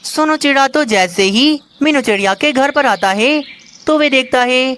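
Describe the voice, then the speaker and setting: High-pitched woman narrating a children's story. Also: moderate pace, fairly bright tone, slight background noise.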